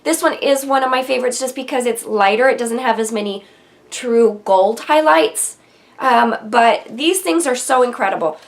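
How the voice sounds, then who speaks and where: A young woman with a slightly high voice talks straight into a close camera mic from her bedroom vanity. A head cold makes her sound stuffy and nasal. She chats eagerly and loosely, with frequent ums and restarts. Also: normal speed. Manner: chatty and enthusiastic, many ums. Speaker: beauty vlogger. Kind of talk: casual product review to camera. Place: bedroom with vanity and shelves, indoors.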